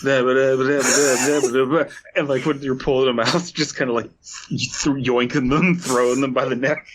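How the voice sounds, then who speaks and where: A man's voice: medium pitch, steady tone, a little fast, background noise. A man co-hosting a podcast in casual banter, riffing absurd scenarios and slipping into mock voices. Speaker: podcast co-host. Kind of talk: casual banter, reading fan fiction summaries aloud.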